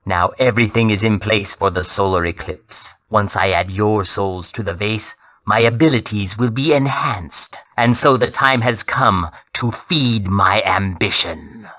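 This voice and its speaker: An actor playing a cold, arrogant soul-collecting villain in a fantasy video game, speaking with formal, deliberate menace. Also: male voice, on the low side, pushed and bright. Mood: gloating, ominous.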